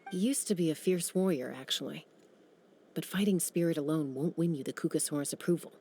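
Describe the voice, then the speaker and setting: A woman voicing a young female flying-squad rider who talks calmly and reassuringly in a video game. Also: low-pitched, a little fast, noisy background. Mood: explaining. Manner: calm, reassuring.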